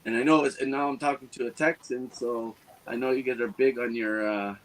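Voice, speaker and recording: A man from Ontario, Canada, calls in as a guest. He is stuffed up and losing his voice but stays friendly and laid-back. He talks at a medium pitch, with faint background noise behind him. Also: steady tone, fairly quick, rough recording. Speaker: guest caller.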